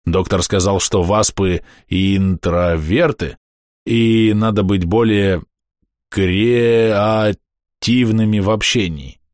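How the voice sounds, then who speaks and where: Low-voiced man reading a diary. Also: fairly even intonation, slow, no room sound, clean studio sound. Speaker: audiobook narrator. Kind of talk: first-person diary narration.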